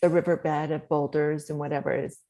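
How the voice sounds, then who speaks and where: A middle-aged woman with a soft, slightly low voice speaks as a guest on an interview show. She talks in an animated, encouraging coaching manner and laughs easily. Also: moderate pace. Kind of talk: podcast interview talk.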